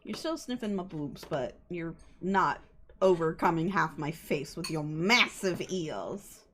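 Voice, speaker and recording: A woman's voice: deep voice, animated, unhurried, fairly bright tone, slight background noise. A woman livestreamer talking casually and playfully, often swearing, as she hosts a game stream. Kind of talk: casual livestream chat with some game text read aloud.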